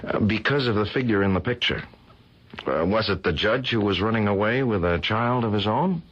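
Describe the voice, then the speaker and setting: An actor playing an earnest, curious young student, polite and a little hesitant, in a radio drama. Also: male voice, on the low side, lively intonation, moderate pace, fairly bright tone. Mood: intent, curious. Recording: muffled old radio drama recording.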